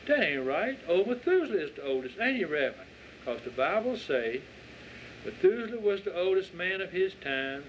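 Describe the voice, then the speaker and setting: A pious little know-it-all girl from the country South, acted by a man, with a raised pitch. She is emphatic and folksy, in a storybook reading on a dull recording. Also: big pitch swings, moderate pace. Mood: emphatic, certain. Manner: folksy, emphatic, Bible-citing. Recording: dull lo-fi audio with faint noise.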